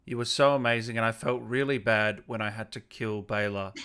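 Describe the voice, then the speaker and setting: Bright-voiced man hosting casually. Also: on the low side, fairly even intonation, fairly quick, clean tone. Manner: informal, conversational. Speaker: interviewer, gaming stream host. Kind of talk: casual interview, reading viewer questions. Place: remote video call.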